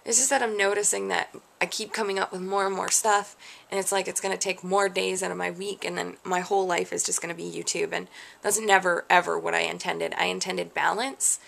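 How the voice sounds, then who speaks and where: Gravelly-voiced woman venting to camera. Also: lowish voice, little pitch movement, a little fast, a bit bright. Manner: frustrated, rambling, self-questioning. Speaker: vlogger. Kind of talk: candid rant to camera.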